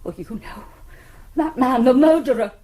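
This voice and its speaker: A woman's voice: high voice, moderate pace, mellow, natural voice. An actress playing an anxious, distraught middle-aged British mother in a radio drama, pleading and hesitant but insistent. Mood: fearful, doubting. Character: anxious, distraught mother of a missing grown daughter.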